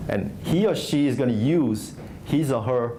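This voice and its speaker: A man's voice: mid-range voice, expressive, moderate pace, dark tone, a little hiss or hum. A man from Singapore, an investor giving a conversational conference talk full of fillers and restarts. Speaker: venture investor speaking at a startup conference. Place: conference stage.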